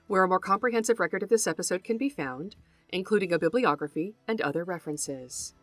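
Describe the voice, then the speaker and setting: A woman's voice: lowish voice, expressive, fast talker, fairly bright tone, soft background music. A woman hosting an educational podcast, reading a script in an earnest, articulate manner with formal phrasing. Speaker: podcast host and folklore researcher.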